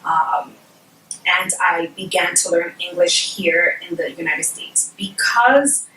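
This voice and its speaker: A middle-aged woman whose first language is Spanish, explaining bilingual learning in a measured way, with frequent ums and personal examples. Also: lowish voice, bright, forceful tone. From Puerto Rico. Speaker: guest speaker on bilingual education. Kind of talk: explanatory workshop talk. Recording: echoey room, mic at a distance, faint noise.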